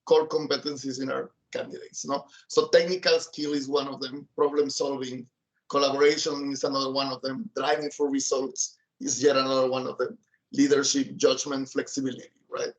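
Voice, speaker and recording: A man's voice: mid-range voice, level tone, fairly quick, highs cut off. A man from Mexico City giving a keynote over a video call, listing points and checking in with "right?". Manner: lists points, tags with "right?". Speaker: keynote speaker, software engineer. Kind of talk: keynote talk.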